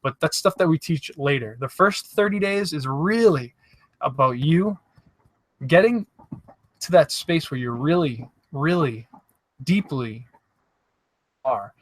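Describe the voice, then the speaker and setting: A man's voice: fairly high pitch, animated, slow, long pauses. A man hosting a live show, coaching viewers on making videos in an upbeat, encouraging way and speaking straight to them. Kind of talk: casual motivational coaching talk. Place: campsite.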